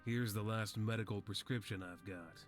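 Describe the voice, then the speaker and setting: A man voices a sickly old slum veteran for a video game. His low voice is weary and gentle, resigned to his fate. Music plays clearly underneath. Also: steady tone, moderate pace, close to an everyday voice.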